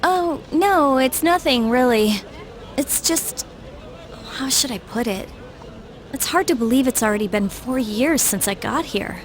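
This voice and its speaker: Preoccupied high school girl, higher pitch, withdrawn, casual, evasive, video game drama. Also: lively intonation, unhurried.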